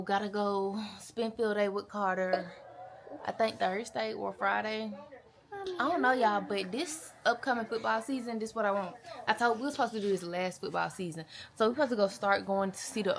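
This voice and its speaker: A woman in her twenties chatting casually to camera as a vlogger, with a playful, animated and slangy way of talking. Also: medium pitch, moderate pace, creaky. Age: 20s. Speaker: vlogger, mother.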